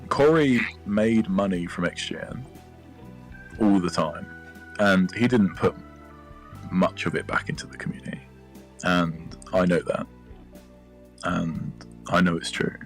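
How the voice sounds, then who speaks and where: Blunt lower-voiced man, music underneath. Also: measured pace, long pauses, rough recording. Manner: blunt, disillusioned. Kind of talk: candid, informal testimony.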